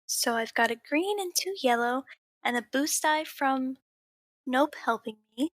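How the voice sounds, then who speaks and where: A woman's voice: high voice, lively intonation, a little slow, no room sound. A woman playing a tabletop game, laying out her character's plans. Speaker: player. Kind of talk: casual tabletop play.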